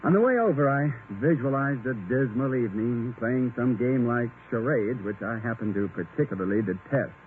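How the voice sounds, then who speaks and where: A genial, folksy man played by an actor gives a confiding radio-ad testimonial. His lively intonation comes through a thin, lightly noisy vintage recording. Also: medium pitch, normal speed, hardly any pauses, phone-quality sound, close to an everyday voice. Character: genial married man giving a beer testimonial. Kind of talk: scripted 1950 radio ad testimonial.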